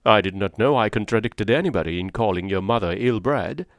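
A man voicing a surly, contrary gentleman who grumbles and sneers. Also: on the low side, lively intonation, fairly quick, dry recording, studio quality. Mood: sour.

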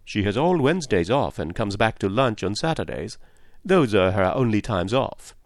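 A man voicing a reserved, earnest and slightly stiff English gentleman in an audiobook. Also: fairly low pitch, big pitch swings, normal speed, no room sound, studio quality. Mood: matter-of-fact.

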